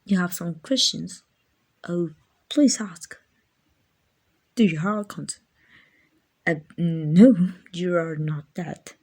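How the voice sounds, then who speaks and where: A gentle guardian angel, acted by a woman for an ASMR comfort roleplay. The voice is breathy and a little low, and the words come slowly. The angel is doting and slightly clumsy, hesitant and apologetic, with plenty of affection. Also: exaggerated voice. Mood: reassuring, tender.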